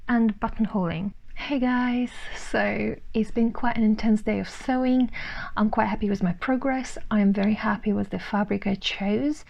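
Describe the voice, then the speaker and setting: A woman's voice: a little high, gravelly, darkish tone, rough recording. A young woman, a native Russian speaker from Ukraine, chatting casually on her sewing vlog and thinking aloud with fillers and restarts. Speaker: sewing vlogger.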